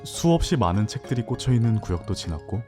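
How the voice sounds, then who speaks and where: A man acting in an audio drama as a hesitant, introspective newcomer, narrating in a soft, reflective way. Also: lowish voice, very animated, quick pace, faint music behind.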